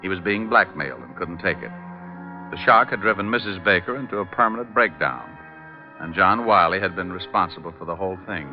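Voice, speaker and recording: A glib American private detective in vintage radio crime drama. His voice is low and his delivery teasing and sardonic. The recording is dull and old, with prominent music behind him. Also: lively intonation, brisk. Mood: brisk narrating. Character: wisecracking, teasing male private detective, narrating his case. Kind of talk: 1940s-50s radio crime drama acting.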